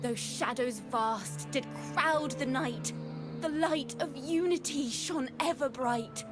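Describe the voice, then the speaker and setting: A young female bard in a fantasy game. Her voice is fairly high and unhurried, with a lyrical, dramatic storyteller's lilt. Music plays clearly behind her. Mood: lyrical, grand. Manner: lilting, dramatic, poetic delivery. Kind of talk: video game voice acting, storytelling.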